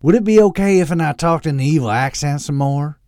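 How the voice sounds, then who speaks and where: A man's voice: fairly high pitch, a little fast, a little mellow, smooth, no echo, clean studio sound, pitched above the performer's own voice, close to an everyday voice. A man voicing an eager, insecure mad-scientist villain in an audiobook, rambling and theatrical, with a Southern accent that wanders into mock-Austrian. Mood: grandiose. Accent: American South, wandering to mock-Austrian.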